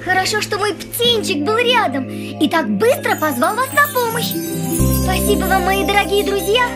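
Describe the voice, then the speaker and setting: A kindly cartoon mother bird, very high-pitched and swooping. The delivery is earnest and caring, with loud music behind it. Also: expressive, normal speed. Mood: grateful, earnest. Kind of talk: animated children's cartoon dubbing.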